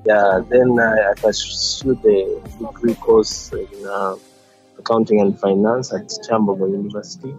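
A man in his forties, answering interview questions in a hesitant, casual way with many fillers and soft chuckles. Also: fairly low pitch, fairly even intonation, measured pace, muffled recording, soft background music. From Uganda. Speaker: guest.

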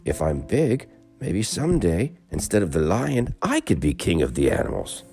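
A man's voice: deep voice, wildly swooping pitch, normal speed, vocal fry, a little mellow, soft background music, heavily acted. A man voicing a small, eager young male rabbit in a storybook tale, talking in a polite, pleading way.

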